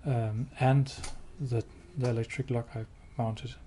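A man giving a hands-on product demo indoors, explaining step by step in a halting, matter-of-fact way. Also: medium pitch, level tone, mellow. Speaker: product demonstrator. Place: indoors, showroom with wall-mounted devices. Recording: clear background noise.

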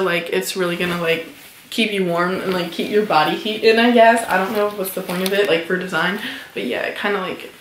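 A young woman in her late teens chats through a haul video, peppering her speech with "like". Her voice is a little hoarse from a cold. The sound is roomy, with a faint hiss behind her. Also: mid-range voice, a bit bright. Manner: chatty, many "like" fillers, upbeat about purchases. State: recovering from a cold, somewhat hoarse. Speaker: vlogger. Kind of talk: casual haul vlog.

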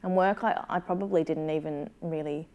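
An Australian woman in her thirties with a slightly low voice talks in a casual interview. She is thoughtful and self-deprecating, feeling her way through sentences with pauses and hedged phrasing, like a writer explaining her work. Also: fairly even intonation, darkish tone. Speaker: playwright being interviewed. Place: small room, seated indoors.

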